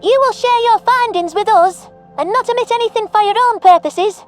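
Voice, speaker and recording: A woman's voice: extremely high pitch, normal speed, faint music behind. A woman playing an embittered, distrustful alien bird fleet commander in a sci-fi audio drama, blunt and threatening. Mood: suspicious. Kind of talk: audio drama character acting.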